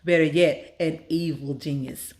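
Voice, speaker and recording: A woman's voice: sits low, fairly even intonation, measured pace, a little mellow, pitched below the performer's own voice. Woman playing a young prankster boy, boastful, scheming, mischievous, self-styled evil genius, children's storybook.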